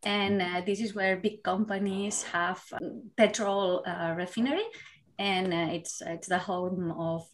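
A woman's voice: mid-range voice, steady tone, a little slow, slight background noise. Woman in her thirties. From Spain. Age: 30s. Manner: candid, reflective, laughs easily. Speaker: guest, university lecturer. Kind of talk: casual interview chat.

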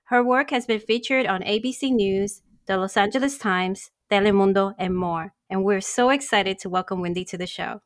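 A woman who co-hosts a podcast, reading a scripted guest introduction in a smooth, upbeat way. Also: mid-range voice, normal speed, hardly any pauses, no room sound, clean studio sound. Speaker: podcast co-host.

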